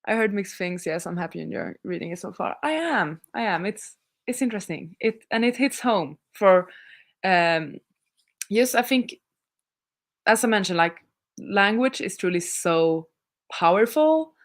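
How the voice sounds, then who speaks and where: A woman's voice: medium pitch, expressive, unhurried. A young Swedish woman, a native Swedish speaker, hosting a casual livestream, chatting in a rambling way with frequent laughs and restarts. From Sweden. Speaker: livestream host reading viewer chat.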